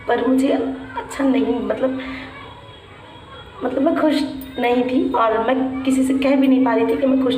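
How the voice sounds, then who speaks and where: A young woman vlogger, her voice worn by a sore throat, talking softly and haltingly to her viewers from home. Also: sits high. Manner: soft, halting, reflective; frequent restarts with "matlab". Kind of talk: personal vlog talking to viewers. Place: room at home. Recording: echoey room, mic at a distance, faint hum.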